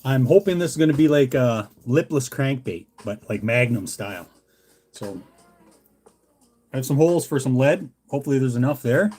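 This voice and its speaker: A man's voice: medium pitch, lively intonation, moderate pace, dark tone, breathy edge. Laid-back, folksy Canadian man chatting. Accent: Canadian English. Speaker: livestream host, hobbyist lure maker. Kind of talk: casual chat with live viewers.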